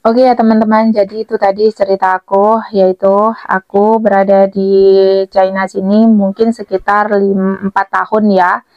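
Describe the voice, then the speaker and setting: A woman's voice: medium pitch, flat delivery, moderate pace, no room sound, lo-fi sound, soft background music. A woman chatting casually to her viewers as a vlogger, with a cough, cold and sore throat. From Blitar, East Java, Indonesia.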